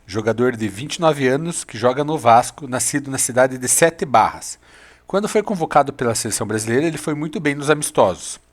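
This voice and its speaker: A man with a Brazilian Portuguese accent, hosting a football channel, narrating a scripted video that lists players and gives his opinions in an upbeat way. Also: mid-range voice.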